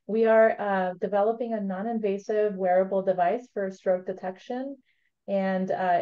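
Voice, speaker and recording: A woman in her thirties, a startup CEO speaking on a webinar panel, measured with many ums. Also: mid-range voice. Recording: lo-fi video call audio.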